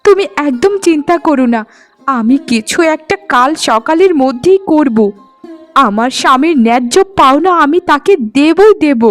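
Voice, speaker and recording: A woman's voice: high-pitched, lively intonation, normal speed, faint music behind. A woman voicing a devoted young bride in a cartoon, speaking in an earnest, plaintive way. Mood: resolute. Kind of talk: cartoon storytelling dialogue.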